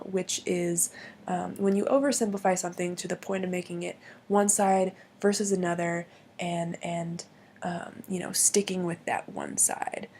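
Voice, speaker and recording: A woman with a hoarse voice from bronchitis, explaining logical fallacies in a hesitant way with many pauses and restarts. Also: fairly low pitch, darkish tone, a little hiss or hum. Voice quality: husky, gravelly.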